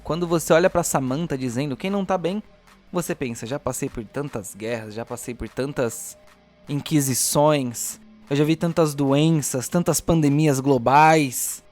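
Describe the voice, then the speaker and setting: A man's voice: mid-range voice, normal speed, a little mellow, faint music behind. Brazilian man narrating as game master. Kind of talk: dramatic tabletop game narration.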